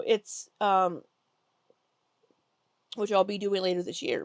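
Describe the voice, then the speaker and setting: A woman's voice: mid-range voice, vocal fry, a little mellow, no room sound. A woman with a stuffy nose giving chatty, critical reaction commentary over video clips, with lots of ums. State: congested. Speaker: commentary YouTuber.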